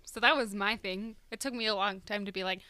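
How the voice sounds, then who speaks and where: A woman's voice: medium pitch, fairly quick, no echo. A young woman co-hosting a podcast, chatting animatedly with lots of "like" and acted-out quotes. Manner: animated, filler-heavy, acts out quotes. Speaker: podcast co-host. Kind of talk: casual chat.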